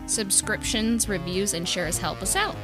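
Female announcer, medium pitch, scripted outro read over prominent music. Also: unhurried.

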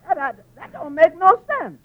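A muffled old radio recording of a wisecracking tavern waiter with a very high-pitched voice. He is long-suffering and dry, stammering over repeated words as he lands his quips in 1940s comedy style. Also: normal speed. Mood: dry, puzzled.

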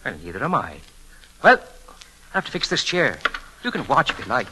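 An actor playing a cocky, flattering young charmer with sly menace, folksy and mock-humble, in old-time radio drama style. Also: male voice, medium pitch, normal speed. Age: young adult. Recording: vintage radio recording, clear background noise.

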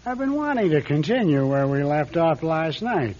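An actor playing a genial, secretive gentleman author in a radio drama, with suave, mock-grand phrasing. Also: on the high side, sing-song, moderate pace, muffled recording, slight background noise. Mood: genial, flattering.

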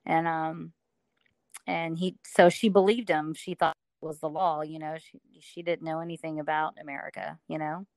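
A woman's voice: sits low, level tone, normal speed, a little mellow, no echo. A middle-aged woman from Alabama, telling her story as a guest in a casual interview, chatty with many fillers.

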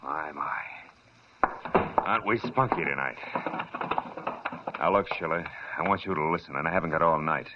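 A man's voice: very deep voice, moderate pace, pauses often, very noisy. A man playing a dry, wisecracking hard-boiled private detective in an old radio drama. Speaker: narrator and lead. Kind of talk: 1940s radio drama acting. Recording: dull lo-fi old radio recording.

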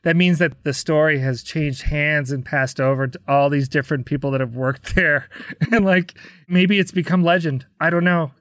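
Higher-voiced American man telling stories. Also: moderate pace, a bit bright. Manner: conversational, acts out quoted dialogue. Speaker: interview guest, rock drummer. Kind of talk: animated casual storytelling.

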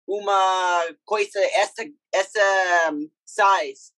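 Young man speaking learned Portuguese haltingly. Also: sits high, steady tone, bright, forceful tone, smooth, no echo. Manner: halting, searching for words in a learned language. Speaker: guest athlete. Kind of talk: casual interview chat.

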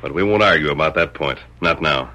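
An actor playing a calm, noble masked cowboy hero with measured, formal speech in a radio Western. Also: male voice, rumbling low, exaggerated voice. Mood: firm, earnest. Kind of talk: old-time radio Western acting.

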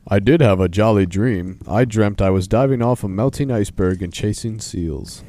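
Guy doing a big storybook polar bear, low dark voice, loud and blunt, cheeky. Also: moderate pace, close to an everyday voice. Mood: cheeky, defiant.

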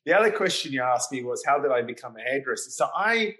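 A man's voice: medium pitch, lively intonation, quick pace, a bit bright. A man with an Australian accent, a hairdresser guest telling stories in a casual interview, often adding "you know".

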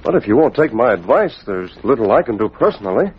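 Guy acting earnest upright gentleman, formal but swoopy intonation, old radio drama, muffled with some hiss. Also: medium pitch, big pitch swings, fast, natural voice. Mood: serious, cautious. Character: earnest, principled gentleman of means, cautious and law-abiding. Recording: dull, noisy old radio recording.

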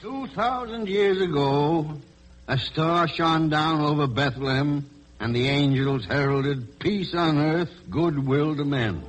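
A man's voice: on the high side, slow, rough recording. A man giving solemn scripted narration in a 1940s radio broadcast. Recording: old radio broadcast, faint music behind. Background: faint music.

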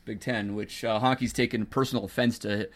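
A man's voice: fairly low pitch, steady tone, a little fast. A man hosting a football podcast, steering the talk with many hesitations. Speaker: podcast host. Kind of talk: casual football chat.

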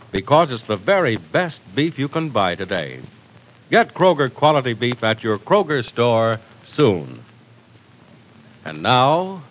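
A man's voice: fairly bright tone, slight background noise, poor audio. A man announcing and selling for a 1940s radio drama, upbeat and persuasive. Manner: upbeat, persuasive sales pitch.

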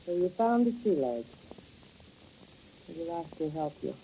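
A woman's voice: low-pitched, very animated, measured pace, mellow, a little hiss or hum, exaggerated voice, low-quality recording. An actress playing a cornered, scheming woman who talks sharply and pleads melodramatically in a 1950s radio drama. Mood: tense. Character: scheming widow, grown woman, cornered and desperate.

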